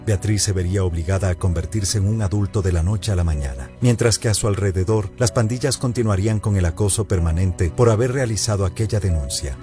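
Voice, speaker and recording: Deep, mellow male documentary narrator. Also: a little fast, hardly any pauses, faint music behind.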